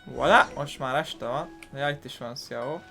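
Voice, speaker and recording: A man's voice: medium pitch, moderate pace, creaky. A man doing casual game commentary as a video host, informally musing aloud. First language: Hungarian. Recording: commentary over game music.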